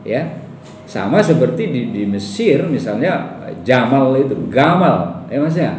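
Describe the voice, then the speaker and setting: A man's voice: medium pitch, very animated, unhurried, darkish tone. A man with a Sumatran Indonesian accent giving a conversational classroom lecture, putting rhetorical questions to his students. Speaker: university lecturer. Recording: roomy echo, distant mic, faint background noise.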